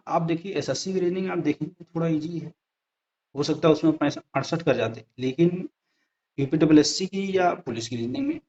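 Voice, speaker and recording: A man's voice: a little high, darkish tone. A man giving a conversational explanatory lesson over slides, speaking directly to viewers and repeating points for emphasis. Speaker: exam-prep presenter. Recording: dull lo-fi sound.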